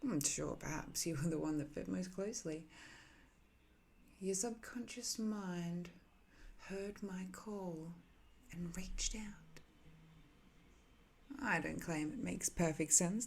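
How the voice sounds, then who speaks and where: A woman playing an ancient imprisoned villainess in an intimate ASMR roleplay, haughty yet infatuated, speaking softly with condescending teasing and tender, hesitant pauses. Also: fairly low pitch, creaky, exaggerated voice. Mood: tender, flirtatious.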